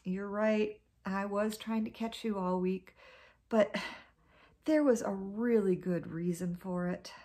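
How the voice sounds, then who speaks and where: A woman's voice: medium pitch, slow, mellow, natural voice. A woman voicing a small, sly male spider trickster who talks in a coaxing, wheedling way.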